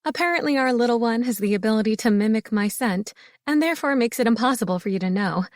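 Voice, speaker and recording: A woman voicing a young adult heroine, excitable and in love, speaking in a bright, breathless way. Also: on the high side, fast talker, no room sound, clean studio sound, natural voice. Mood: excited.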